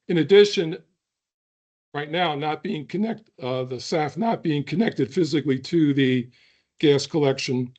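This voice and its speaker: A hoarse man who is losing his voice, giving an earnest public comment at a hearing with deliberate pauses and throat clearing. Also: a little high, darkish tone, lo-fi sound.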